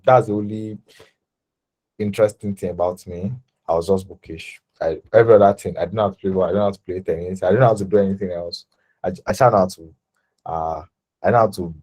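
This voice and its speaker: Young Nigerian man, low and mellow voice, halting and self-correcting delivery. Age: 20s. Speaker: designer guest. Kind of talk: casual interview talk.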